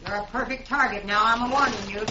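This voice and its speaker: A woman's voice: on the high side, quick pace, pushed and bright, a little hiss or hum. An actress playing a defiant, stubborn woman homesteader who threatens intruders fiercely, in a 1950s radio drama. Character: defiant armed woman homesteader defending her land. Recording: roomy, muffled old radio broadcast.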